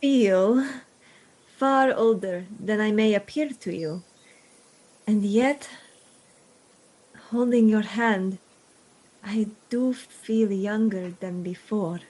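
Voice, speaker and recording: A woman acting in a gothic radio drama as a mysterious, intense young lady, speaking in slow, formal period diction. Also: mid-range voice, a little mellow, poor audio. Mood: wistful. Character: mysterious intense young woman, secretly ancient, possessive in love. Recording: music behind the voice.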